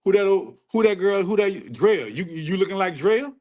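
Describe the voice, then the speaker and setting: A middle-aged man on a casual livestream rant, preachy and profane, repeating phrases for emphasis and climbing sharply in pitch when he gets heated. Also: expressive, normal speed, darkish tone. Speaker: livestream host answering chat.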